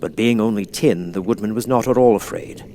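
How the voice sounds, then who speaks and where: Male storybook narrator: lowish pitch, scripted audiobook reading. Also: a little fast, dark tone, slight background noise.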